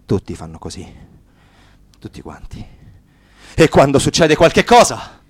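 Fervent activist, radio theatre monologue, high voice, wide swings, mocking, music behind. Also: male voice, wildly swooping pitch, unhurried, breathy edge, no echo, clean studio sound, exaggerated voice. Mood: hushed, bitter. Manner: dramatic, emphatic, mocking. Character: fervent satirical male anti-mafia activist telling his story.

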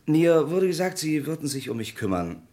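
An actor playing a good-humoured young lawyer just made partner, talking in a breezy, self-deprecating way in an old radio play. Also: male voice, fairly high pitch, sing-song, normal speed, mellow. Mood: jovial. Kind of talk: 1950s-80s radio play acting.

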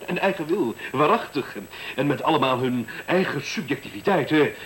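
Smug, animated technocrat school director. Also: male voice, on the high side, a little fast. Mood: condescending, scornful. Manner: condescending, glib, self-interrupting. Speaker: school director in a radio play. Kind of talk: radio drama acting, conversational monologue. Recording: hissy, noisy old radio recording.

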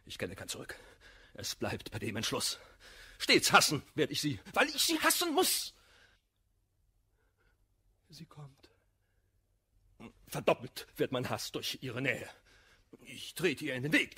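Jilted, melodramatic gentleman, wildly swinging pitch. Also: wildly swooping pitch, unhurried, pauses often, breathy edge, heavily acted. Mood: bitter, resolute. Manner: theatrical, anguished verse declamation. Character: jilted, hot-tempered gentleman lover, wounded and melodramatic. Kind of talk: German radio play of classical verse comedy.

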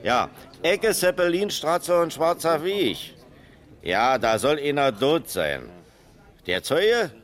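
An actor playing a flustered, plain-spoken pub landlord in a 1970s radio crime drama. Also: male voice, on the high side, sing-song, takes it slowly, a bit bright, heavily acted.

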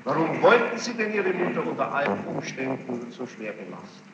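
A man's voice: mid-range voice, sing-song, normal speed. A man formally questioning a witness in court, insistent and probing, often repeating answers back. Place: large reverberant courtroom. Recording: muffled, noisy, echoey archival courtroom tape.

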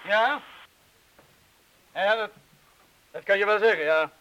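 A man's voice: high-pitched, slow, sharp tone, heavily acted, poor audio. An actor in a radio drama playing a blunt, haunted bomb disposal man with a terse, urgent way of talking. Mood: brooding, blunt.

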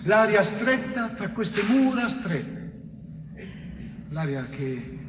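A man's voice: high-pitched, very animated, distant mic, exaggerated voice. An actor playing an anguished innocent man in a poetic, repetitive stage monologue, recorded in a theatre hall. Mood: anguished, reflective. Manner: poetic, repetitive, drawn-out phrases. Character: anguished innocent man recalling his stolen youth. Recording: echoey hall stage recording, faint noise.

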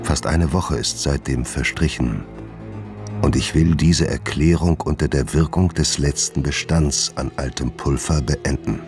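A man acting in a radio drama as a doomed, melancholy gentleman doctor, solemn and weary in a deliberate confession. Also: rumbling low, over music. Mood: resigned.

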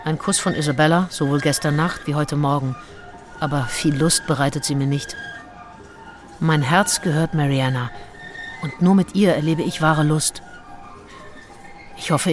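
An actress playing a candid, passionate, willful Englishwoman confiding her diary in an intimate radio play, with deliberate phrasing. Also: low-pitched, close to an everyday voice. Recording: studio radio play with music behind.

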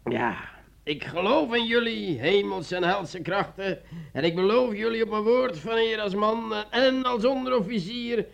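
A drunken, excitable army sergeant, high-pitched. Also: male voice, a little slow, vocal fry, muffled recording, exaggerated voice. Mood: fervent, exalted. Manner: theatrical, exclamatory, tipsy muttering and laughter. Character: drunken, bitter army sergeant, father of a baby. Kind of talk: radio play acting.

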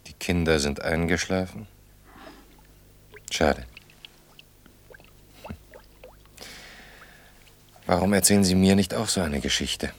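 Actor as down-to-earth man, very low voice, restrained, formal, wistful, understated radio drama dialogue. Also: normal speed, lightly acted. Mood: curious, wistful. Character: sober, down-to-earth man, politely wistful and reserved.

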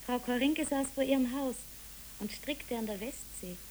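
A woman plays an earnest young storyteller in a radio drama. Her high voice tells a dreamlike tale simply, over a faintly hissy old recording. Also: moderate pace, darkish tone, lightly acted, low-quality recording. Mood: earnest, narrating. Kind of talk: literary scripted narration in a radio play.

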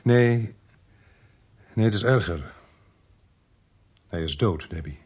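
An actor with a Netherlands Dutch accent playing a sober, dogged police inspector who narrates his own story in a measured, understated radio drama style. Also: lowish voice, animated, darkish tone, breathy edge, no room sound. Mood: grave, gentle. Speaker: actor, lead role and narrator of a radio play.

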